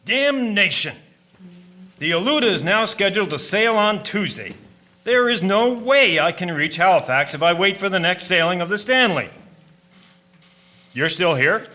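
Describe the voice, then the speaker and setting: Man playing a gruff sea captain, sharp and forceful. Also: sits high, animated, normal speed, pauses often. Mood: annoyed. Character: gruff, impatient 19th-century sea captain. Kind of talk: radio drama acting. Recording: roomy, faintly noisy drama recording.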